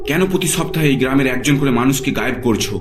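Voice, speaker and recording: A man's voice: medium pitch, quick pace, close to an everyday voice. A man playing a stubborn, fearless young man in a horror cartoon, speaking in a firm, serious, challenging way. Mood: resolute. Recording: music behind the voice.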